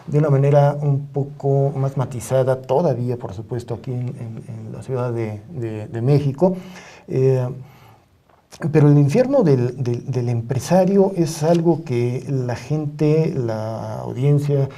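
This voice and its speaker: A middle-aged man with a Mexican accent, speaking as an expert guest in a studio discussion in a deliberate, halting, measured way. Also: mid-range voice, mellow. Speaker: media ombudsman and journalist, panel guest. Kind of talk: reflective studio interview discussion.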